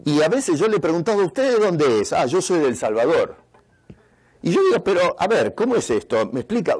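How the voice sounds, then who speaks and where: Earnest Argentine pastor preaching. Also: male voice, fairly high pitch, darkish tone, slightly rough. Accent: Argentine Spanish. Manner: earnest, rhetorical questions to the congregation. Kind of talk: sermon. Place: church retreat in Canada.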